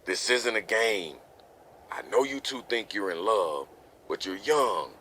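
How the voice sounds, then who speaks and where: Actor as a deep, forceful scolding father. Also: expressive, a little slow, slight background noise, heavily acted. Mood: exasperated, scolding. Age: middle-aged. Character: stern, exasperated protective father of a teenage girl. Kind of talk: voice acting for a motion comic.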